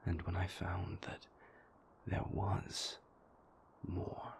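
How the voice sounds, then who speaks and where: A man playing an earnest, guarded, remorseful vampire boyfriend in an intimate audio roleplay, speaking in a breathy, gravelly, halting and deliberate way. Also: deep voice, monotone, a little hiss or hum, exaggerated voice. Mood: earnest, reflective. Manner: halting, deliberate, measured phrasing with long pauses.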